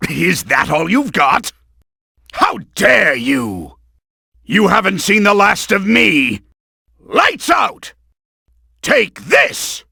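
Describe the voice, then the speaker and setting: Theatrical game villain, mad scientist, swooping pitch, gravelly edge, blustering, scheming. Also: male voice, wildly swooping pitch, drawn-out words, pauses often, bright, forceful tone, dry recording, studio quality, exaggerated voice. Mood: angry, threatening. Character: bombastic middle-aged male mad-scientist villain, scheming and hot-tempered. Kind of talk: exaggerated video game villain voice acting.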